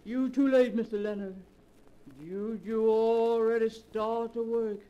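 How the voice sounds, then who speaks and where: A woman's voice: a little high, little pitch movement, slow, muffled recording. A woman acting a defiant servant who chants ominous curses in broken English in a 1940s radio melodrama. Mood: ominous chanting. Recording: noisy 1940s radio recording.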